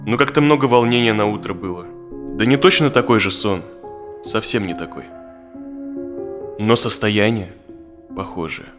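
An actor playing an uneasy therapy client in his thirties in an audio drama, speaking in a halting, reflective way. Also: on the low side, over music, lightly acted. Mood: hesitant, uneasy. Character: uneasy, tired thirty-five-year-old male therapy client.

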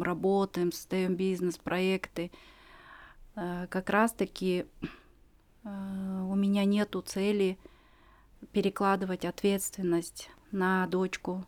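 A woman in her forties telling her personal story as a podcast guest, earnest and reflective, pausing often with fillers. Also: medium pitch, a little mellow.

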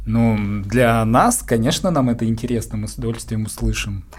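A man's voice: mid-range voice, fairly quick, a little mellow. A man interviewing someone in a casual, conversational way, feeling out his questions informally as he speaks.

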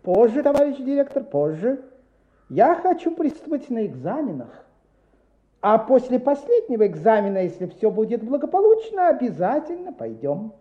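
An actor playing a genial visiting inspector in a Soviet radio play. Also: male voice, extremely high pitch, big pitch swings, normal speed. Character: genial adult inspector, official visiting schoolchildren. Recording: dull echoey old radio, hissy.

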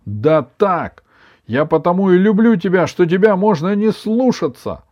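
A cheeky, stubborn young grandson from a storybook, played by a man, raised in pitch and very animated. He argues emphatically and defiantly, with affection underneath, on a slightly muffled recording. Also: a little slow. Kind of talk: children's story reading.

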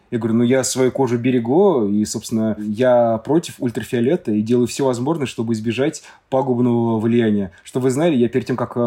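A man's voice: mid-range voice, warm tone. Guy from moscow telling stories on a podcast, lots of ums, medium voice. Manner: storytelling with many hesitations. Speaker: podcast guest. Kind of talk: casual chat.

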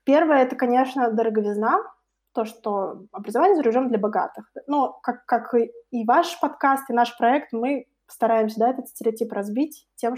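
A young woman with a fairly high, very soft-toned and mellow voice speaks as an interview guest. She explains things in an informal, conversational way, often acting out what other people might say. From Russia. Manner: explanatory, informal, illustrates with imagined quotes. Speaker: interview guest, co-founder of a study-abroad project.

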